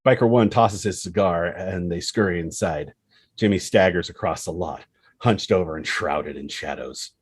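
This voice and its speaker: Low, husky male script narrator. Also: normal speed, no echo, clean studio sound. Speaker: narrator reading stage directions.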